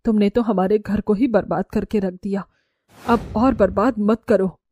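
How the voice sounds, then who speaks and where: A woman's voice: mid-range voice, fairly even intonation, normal speed, warm tone, slight background noise, lightly acted. A woman voicing a bitter, reproachful middle-aged mother-in-law who blames others in a cartoon story. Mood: scornful.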